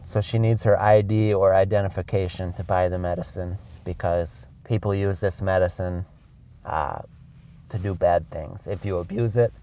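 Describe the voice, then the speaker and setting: A man's voice: deep voice, fairly even intonation, normal speed, mellow. A middle-aged man with a cold and a congested voice, chatting casually to English learners and often calling them guys. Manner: chatty, keeps addressing viewers as guys. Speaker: English teacher vlogger. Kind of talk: casual explanatory vlog to learners.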